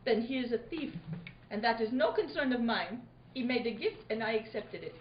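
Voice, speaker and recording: Woman playing a haughty high-voiced foreign temptress. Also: lively intonation, normal speed, slight background noise, lightly acted. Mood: haughty, indignant. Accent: put-on foreign accent. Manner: imperious, theatrical delivery. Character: haughty, flirtatious foreign society adventuress with a quick temper. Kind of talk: hammy old-time radio acting. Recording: muffled, roomy live recording.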